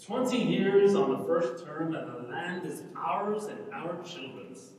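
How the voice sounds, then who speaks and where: A man's voice: a little high, animated, a little slow, a little mellow. A man playing an eager, idealistic young Irish countryman given to speechifying, in a dramatic stage reading. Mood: earnest, surprised. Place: large reverberant indoor hall, from far off. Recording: very echoey hall, distant mic.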